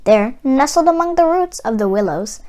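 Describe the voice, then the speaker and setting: A woman narrating a children's storybook as an expressive read-aloud. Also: high-pitched, moderate pace. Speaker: storybook reader.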